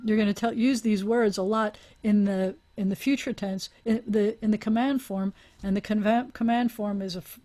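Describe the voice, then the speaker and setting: A woman's voice: mid-range voice, a little mellow. A woman teaching a language class over a video call, explaining aloud with restarts and small laughs. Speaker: Hebrew teacher.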